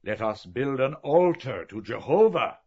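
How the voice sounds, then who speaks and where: A man's voice: medium pitch, sing-song, measured pace, husky, voice pushed up, exaggerated voice. Guy doing a declaiming old professor, big swoopy delivery, kinda muffled. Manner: oratorical, sweeping rhetoric. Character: professor, older man, learned, declamatory. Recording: dull lo-fi recording.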